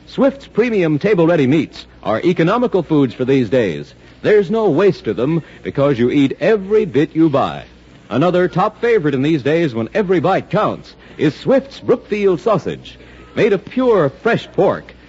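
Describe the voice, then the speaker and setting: A man's voice: on the high side, a little mellow, breathy edge. A man with an American accent delivering scripted 1940s radio announcements in a polished, emphatic way. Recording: muffled 1940s radio recording with music.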